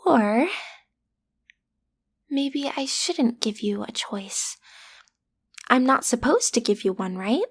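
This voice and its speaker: A woman's voice: a little high, lively intonation, slow, long pauses, full character voice. A young woman playing a lovestruck schoolgirl villain's daughter in an intimate audio roleplay, hesitant and playfully menacing, often slipping into a whisper. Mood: awkwardly menacing. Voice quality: breathy, often dropping to a whisper. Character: lovestruck teenage schoolgirl, villain's daughter, awkward amateur kidnapper. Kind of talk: audio roleplay acting, intimate direct address to listener.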